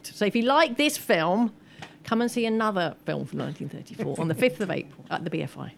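A woman's voice: medium pitch, lively intonation, a little fast, gravelly, slight background noise. A woman speaking casually as an expert guest in a post-screening discussion. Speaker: expert guest, film curator.

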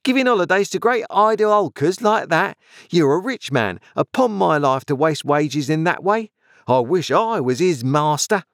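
A man voicing a shrill, scolding married woman who works herself into a melodramatic rage in a storybook reading. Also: lively intonation, normal speed, no echo, clean studio sound. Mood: scornful scolding. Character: shrill shrewish middle-aged married woman in a mounting rage.

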